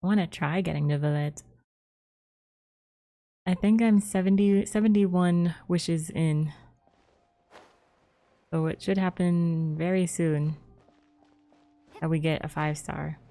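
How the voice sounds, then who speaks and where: A woman's voice: lowish voice, fairly even intonation, slow, long pauses, dark tone. A woman streamer giving casual, playful and rambling live commentary while playing a game. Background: faint game music.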